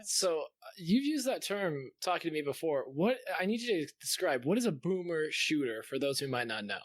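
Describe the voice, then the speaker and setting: A man's voice: on the high side, very animated, normal speed, no echo. A man hosting a casual gaming podcast, bantering and jumping in with jokey remarks. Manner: jokey, interjecting. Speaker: podcast host.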